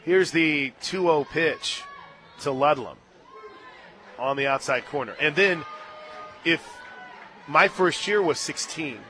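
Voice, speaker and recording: American male sports announcer, higher-pitched and forceful, live play-by-play from a booth with easy conversational asides. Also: takes it slowly, pauses often, some chatter behind, slight background noise. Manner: animated calls that swell to shouts on big plays, conversational between pitches. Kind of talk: live sports commentary with casual banter. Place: radio broadcast booth at a ballpark.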